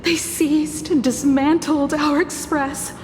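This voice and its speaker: A woman's voice: high-pitched, steady tone, takes it slowly, a little mellow, big-room sound, a little hiss or hum. An actress playing an earnest young woman explaining bad news in a video game. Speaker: game character.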